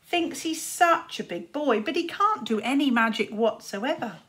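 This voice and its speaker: A woman's voice: high voice, lively intonation, a little slow, a bit bright, pitched above the performer's own voice. Woman playing a cruel, jeering boy wizard. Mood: mocking. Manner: jeering and crowing. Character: cruel, taunting, boastful boy wizard rival.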